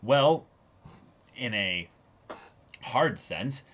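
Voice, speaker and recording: Male co-host, mid-pitched voice, loose conversational episode chat with animated asides. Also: very slow. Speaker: co-host of an anime reaction show. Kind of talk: casual chat, episode discussion.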